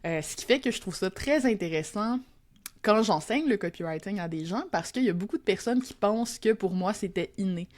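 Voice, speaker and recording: A woman's voice: mid-range voice, lively intonation, brisk, a little mellow. Reflective Québécoise woman guest. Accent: Québécois French. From Quebec. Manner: reflective, self-deprecating, frequent fillers and restarts. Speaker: podcast guest, copywriting teacher. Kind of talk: casual conversational interview.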